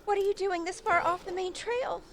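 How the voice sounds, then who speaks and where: A woman's voice: very high-pitched, steady tone, moderate pace, noisy background. A woman playing an anxious young dog walker in an audio drama, urgent and alarmed. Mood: worried reassurance. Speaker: actor.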